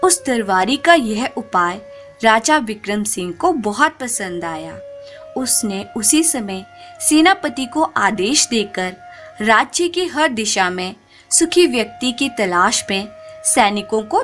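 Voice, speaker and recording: A woman storyteller reads a folk tale at a slow, measured pace. Her pitch moves expressively through each sentence, with music playing under her voice. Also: very animated, fairly bright tone. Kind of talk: scripted narration of a moral folk tale.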